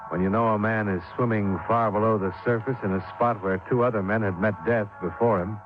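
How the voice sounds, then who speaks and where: An actor playing a wry, tough American insurance investigator in 1950s radio drama, narrating in a dry, clipped, sardonic way. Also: male voice, lowish voice, steady tone, brisk, music in the background, lightly acted. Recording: muffled old radio recording.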